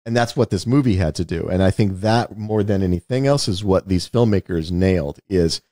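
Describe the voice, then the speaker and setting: Low-voiced man explaining in a dry room. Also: brisk, mellow, no room sound. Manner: explanatory, knowledgeable, repeats words when starting. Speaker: podcast co-host. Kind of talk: casual movie discussion.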